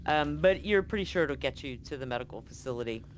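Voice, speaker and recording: A woman's voice: sits low, animated, fast, poor audio. A woman narrating a tabletop game as game master in a casual, blunt, conversational way. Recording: noticeable background noise on live stream.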